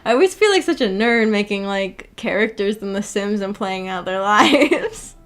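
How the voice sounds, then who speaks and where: A woman's voice: mid-range voice, lively intonation, moderate pace, soft background music. A woman hosting a casual livestream discussion, chatting in a rambling, giggly way. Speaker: streamer hosting a book club discussion.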